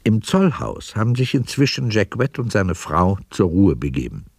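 A man's voice: fairly low pitch, sing-song, normal speed, slightly rough, no echo. A man reading scripted scene narration for a radio drama as its narrator.

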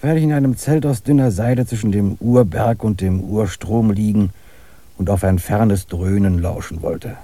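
A man playing a calm, dreamy camper in a radio play dialogue. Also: lowish voice, normal speed.

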